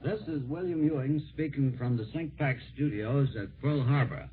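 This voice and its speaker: A man working as a radio war correspondent, hosting a live 1940s holiday broadcast in an informal, halting way. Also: medium pitch, faint music behind. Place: radio studio at a naval base. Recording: tinny band-limited 1940s radio broadcast.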